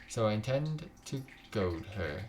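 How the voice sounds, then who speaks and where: A man's voice: lowish voice, very animated, measured pace. A man hosting a gaming video, reading a visual novel aloud with casual reactions.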